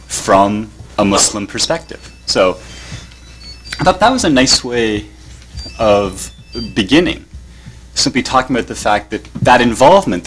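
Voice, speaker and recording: A man with a Canadian accent giving a measured academic conference talk, with pauses. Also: on the low side, a bit bright, poor audio. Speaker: panelist. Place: conference hall.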